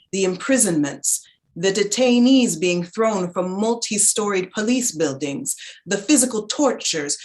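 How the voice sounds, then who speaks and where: A woman giving a dramatic reading of a literary essay in a measured, reflective, articulate manner. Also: medium pitch. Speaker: reader in a staged reading.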